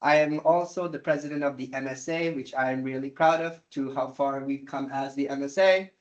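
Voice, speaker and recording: A man in his twenties from Sri Lanka, speaking as a student candidate and pausing often with "uh". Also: mid-range voice, fairly even intonation, dull audio. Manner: halting, frequent "uh" fillers. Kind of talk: candidate introduction and answer.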